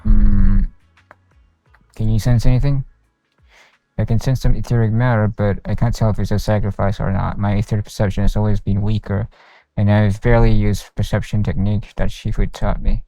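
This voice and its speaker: A man's voice: on the low side, flat delivery, moderate pace, warm tone. A man livestreaming a game, reading its dialogue and narration aloud with occasional stumbles. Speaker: game streamer. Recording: roomy, with faint game music behind.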